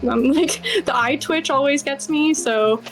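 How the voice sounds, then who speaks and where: A woman's voice: sits high, a little slow, soft background music. A woman giving casual, chatty interview answers as a game developer, with lots of informal asides. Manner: chatty, informal, many "like" and "you know" asides.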